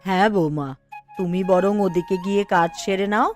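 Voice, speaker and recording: A woman playing a scolding, fussing village wife and mother in a Bengali animated cartoon, with a nagging, exclamatory delivery. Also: on the low side, moderate pace, faint music behind, natural voice. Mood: brisk.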